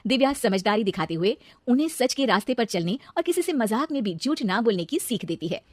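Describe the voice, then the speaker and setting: A woman's voice: a little high, expressive, brisk, no room sound. A woman narrator reading a scripted cartoon story.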